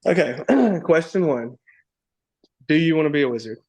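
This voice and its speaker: A man's voice: fairly high pitch, lively intonation, moderate pace, a little mellow, breathy edge. A man chatting as a podcast guest, upbeat and playful, who slips into grand mock-formal wizard talk and laughs often. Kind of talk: enthusiastic casual chat.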